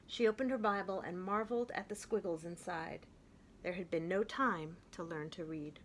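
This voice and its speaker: A woman reading a children's picture book aloud, with gentle, expressive and clear storytelling. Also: lowish voice, moderate pace, a little hiss or hum.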